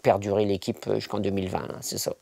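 A man in his sixties, a team manager giving a documentary interview, pausing often with fillers. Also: fairly low pitch, flat delivery, vocal fry, noisy background. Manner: hesitant, many fillers. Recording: interview under a music bed.

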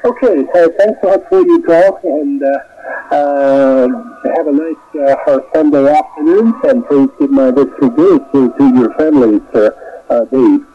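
A man with a Japanese accent making a polite, halting amateur radio contact, heard over shortwave. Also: fairly high pitch. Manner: halting, polite, formal courtesies. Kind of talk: radio contact chat, phonetic spelling. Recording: dull, noisy shortwave radio reception.

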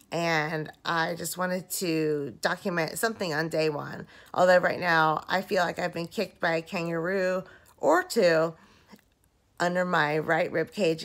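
Hoarse, exhausted woman vlogging from bed. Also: lowish voice, fairly bright tone. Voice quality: dry-mouthed, rough voice. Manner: slow, drowsy, rambling. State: hoarse and very tired after surgery. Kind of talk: casual recovery video diary. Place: lying in bed at home, indoors. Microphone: phone held at arm's length.

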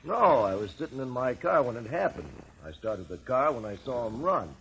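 An actor playing a terse, defensive witness testifying in a 1950s radio drama. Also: male voice, mid-range voice, very animated, normal speed, gravelly, fairly bright tone. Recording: faintly noisy old radio recording.

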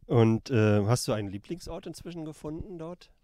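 A man's voice: a little high, lively intonation, brisk, dark tone, no echo, clean studio sound. A man interviewing an actor, asking short questions and prompting with brief acknowledgements.

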